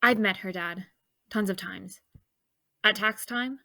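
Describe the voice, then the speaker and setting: A woman playing a defiant, loyal young daughter in an audio drama, informal and quick to protest. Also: medium pitch, gravelly, sharp tone, no echo, clean studio sound, full character voice. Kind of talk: scripted audio drama acting.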